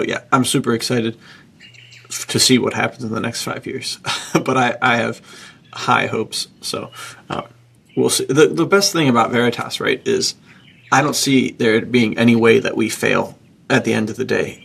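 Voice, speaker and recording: A man talks casually as a podcast co-host, full of slangy enthusiasm. His voice is husky and rough with very heavy vocal fry. The pitch stays fairly flat throughout. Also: normal speed. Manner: enthusiastic, slangy, says dude.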